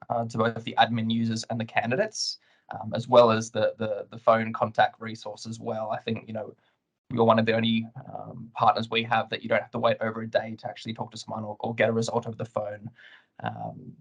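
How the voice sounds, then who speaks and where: A man speaking as a webinar guest, answering questions in a measured, professional, explanatory way. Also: lowish voice, breathy edge. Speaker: client guest, compliance lead.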